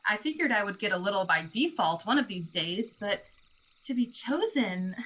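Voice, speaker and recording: A woman's voice: mid-range voice, animated, moderate pace, a bit bright. A woman playing a self-deprecating young sorority treasurer in a dramatic reading, with a dry, wry manner. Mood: wry, unsure.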